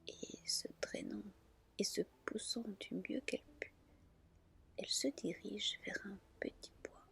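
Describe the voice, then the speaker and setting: A woman reading a fairy tale as an ASMR storyteller, in a gentle, soft, deliberate whisper. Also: medium pitch, vocal fry.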